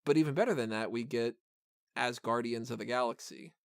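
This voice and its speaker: Male podcast host, smooth and clear, medium pitch. Casual, rambling, enthusiastic film talk. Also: brisk, no room sound, clean studio sound.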